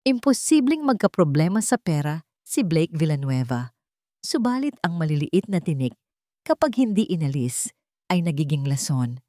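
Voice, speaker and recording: A woman's voice: low voice, sing-song, moderate pace, mellow, no room sound, studio quality. A woman narrator reading a scripted romance story in a dramatic storytelling style.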